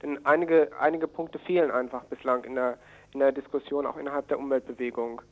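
Man, radio interviewee, hesitant and earnest, flat intonation, thin phone-like sound. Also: medium pitch. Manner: hesitant, halting, earnest phrasing. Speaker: environmental activist being interviewed. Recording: narrow tinny phone-like line.

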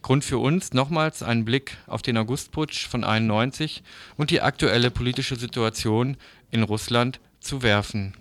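A man reading scripted radio news previews as a presenter, in a formal, measured manner. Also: medium pitch, no echo.

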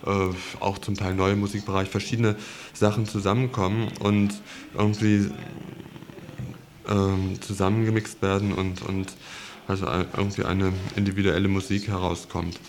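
Low, even-voiced man explaining haltingly. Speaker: radio music show host. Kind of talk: unscripted radio studio discussion.

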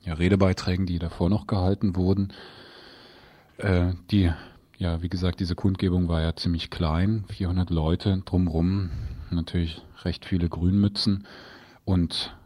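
A male radio co-presenter adding to a studio report in loose, halting, unscripted phrases. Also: low-pitched, level tone, warm tone. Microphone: studio microphone.

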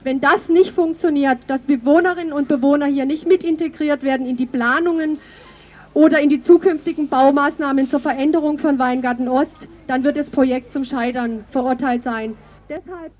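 A woman's voice: high voice, moderate pace, darkish tone, dull audio. A woman delivering a formal scripted statement on radio.

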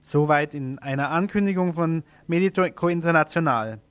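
Higher-pitched man presenting radio announcements. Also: normal speed, dry recording. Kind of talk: reading local event announcements.

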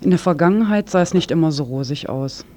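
A man's voice: fairly high pitch, expressive, quick pace, mellow, a little hiss or hum. A man presenting a scripted radio news report, now and then stumbling over words as he reads.

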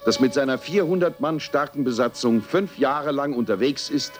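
A man's voice: medium pitch, normal speed. A man declaims a space-adventure opening like an announcer. His voice sits mid-range, and faint music plays behind him. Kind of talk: mock space-show opening narration.

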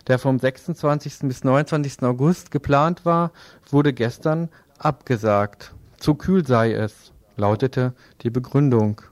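A man's voice: medium pitch, a little mellow, no echo. A man presenting a scripted radio news commentary in a sardonic manner, putting rhetorical questions to listeners.

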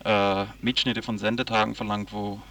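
A man with a creaky, monotone voice, slightly distant from the mic, conducts a radio interview. He speaks spontaneously and hesitantly, searching for the right wording. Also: lowish voice, normal speed.

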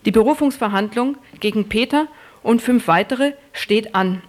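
A woman's voice: on the high side, moderate pace. A woman narrating a scripted news report as a radio reporter.

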